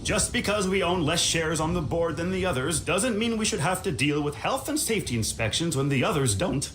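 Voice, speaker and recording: A man's voice: fairly high pitch, expressive, fairly quick, a bit bright, a little hiss or hum, low-quality recording. An actor playing a cheerful male orchard worker for a company, talking in folksy, overly upbeat sales patter. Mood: defensive.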